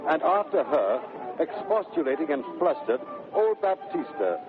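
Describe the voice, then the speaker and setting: A man's voice: fairly high pitch, big pitch swings, measured pace. A man narrating a play in a dramatic, descriptive 1930s radio style. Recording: tinny 1930s radio broadcast. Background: music.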